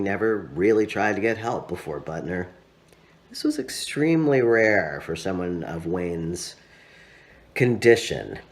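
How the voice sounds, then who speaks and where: Deep-voiced man reading with lively pitch. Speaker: author reading own story. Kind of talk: literary narration, read aloud.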